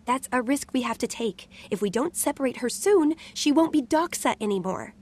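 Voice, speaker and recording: A woman playing a sharp-witted gnome-like inventor in a video game, brisk, technical and blunt. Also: fairly high pitch, lively intonation. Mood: earnest, blunt. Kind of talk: video game cutscene acting.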